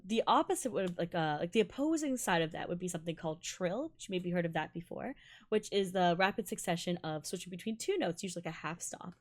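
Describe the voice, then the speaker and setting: A young adult woman whose first languages are Malayalam and Tamil, a violinist giving a bubbly, excitable casual breakdown of music and laughing often. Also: medium pitch. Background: faint music playing.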